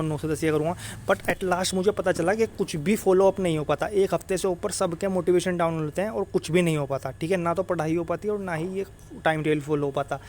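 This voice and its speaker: Fast, high-pitched young man coaching students. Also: a little mellow, slight background noise. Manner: repetitive, checks in with tag phrases, mixes in English words. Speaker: exam-preparation coach. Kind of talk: informal explainer addressed to students.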